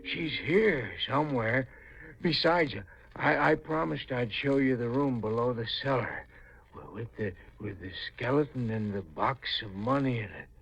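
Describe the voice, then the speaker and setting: An actor playing a slow-witted, childlike strong man in a 1950s radio mystery, with halting, stammering, simple speech that is eager yet menacing. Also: mid-range voice, gravelly, a bit bright, husky, heavily acted. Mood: pleading, insistent. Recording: muffled, noisy 1950s radio recording.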